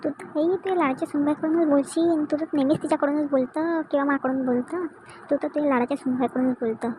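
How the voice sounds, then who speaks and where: A woman's voice: high-pitched, steady tone, quick pace, noisy background, natural voice. A woman voicing a hot-headed, whiny son in an amateur cartoon comedy. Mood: sulky, defiant. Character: hot-headed drunk son, sulky then pleading.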